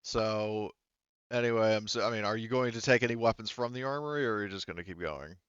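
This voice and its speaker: Smooth low-voiced man running a game. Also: quick pace, a bit bright, dry recording, lo-fi sound. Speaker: game master. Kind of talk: casual tabletop game narration.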